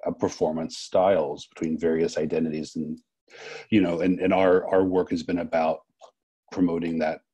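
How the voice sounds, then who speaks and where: Man, muted mellow tone, husky, interviewer, reflective, halting and searching for words. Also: sits low, flat delivery. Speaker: interviewer, festival co-director. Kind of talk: unscripted, reflective interview talk.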